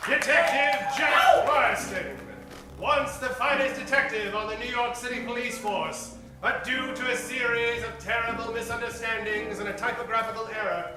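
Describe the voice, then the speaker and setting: A man's voice: extremely high pitch, a little slow. A man hosting and narrating a radio comedy show in a grandiose, dramatic old-time announcer style. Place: live stage performance, roomy. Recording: echoey stage from far off, music behind.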